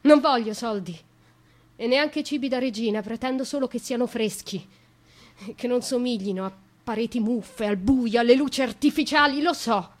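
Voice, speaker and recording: An actress playing an imprisoned young noblewoman in a theatrical radio monologue, speaking intimately and dramatically with deliberate pauses. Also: on the high side, lightly acted. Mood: bitter, accusing. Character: imprisoned young noblewoman, wounded, confiding, bitterly defiant. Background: music.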